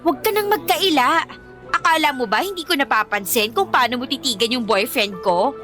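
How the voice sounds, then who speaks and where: A woman acting a jealous, spiteful teenage girlfriend in a Filipino radio drama, confrontational and sharp-tongued. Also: high-pitched, moderate pace, soft background music. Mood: spiteful, possessive.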